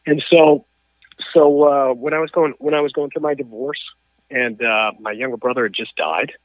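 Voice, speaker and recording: A man, a coach and athlete, telling his story as an interview guest, halting with frequent restarts and fillers. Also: mid-range voice, no room sound. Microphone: phone or call line. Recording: tinny phone line.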